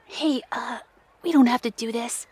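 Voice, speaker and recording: A woman's voice: on the high side, unhurried, a little mellow, breathy edge. A woman playing a timid, sensitive anime girl with a hesitant, emotional delivery in an audio drama. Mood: nervous.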